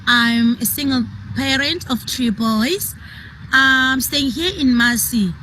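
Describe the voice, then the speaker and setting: A woman's voice: sits high, takes it slowly, pushed and bright, a little hiss or hum, low-quality recording. A woman with a South African accent, a teacher giving a simple, heartfelt video testimonial.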